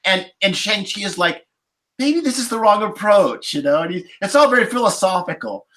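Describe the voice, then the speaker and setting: A man's voice: high-pitched, moderate pace. A man chatting casually on a podcast panel, telling stories with many asides. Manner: animated storyteller, many asides. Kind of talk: casual anecdotal chat.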